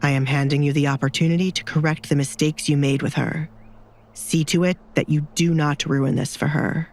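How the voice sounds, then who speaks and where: A woman playing a cold, commanding duke in an audio drama, speaking slowly and deliberately with calm menace. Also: sits low, voice pushed down. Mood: cold threat. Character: cold, menacing young duke, calmly threatening man.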